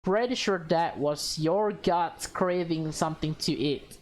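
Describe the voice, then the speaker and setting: A woman's voice: on the low side, unhurried, a little hiss or hum, close to an everyday voice. A woman voicing a baffled, reluctant young man in a visual novel, stammering and dry. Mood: dry, agreeable.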